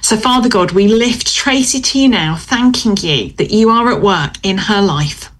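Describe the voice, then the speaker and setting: A woman leading a spoken prayer in a steady, earnest, measured way. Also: medium pitch. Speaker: prayer leader.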